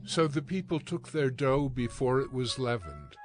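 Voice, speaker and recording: A man narrating scripture from a script in a solemn, measured way. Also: mid-range voice, very animated, soft background music.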